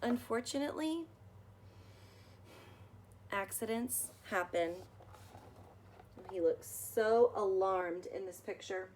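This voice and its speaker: A woman reads a storybook aloud to young children at an unhurried pace. Her voice sits at a medium pitch, and she reads expressively with explanatory asides. There is some noise behind her. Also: a little mellow. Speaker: storybook reader.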